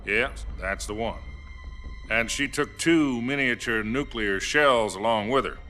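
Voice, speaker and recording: An actor playing an American president in a game cutscene, calm and placating. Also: male voice, medium pitch, measured pace, soft background music. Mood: explaining. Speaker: US president on a hotline call. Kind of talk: video game cutscene acting. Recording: game audio with faint score.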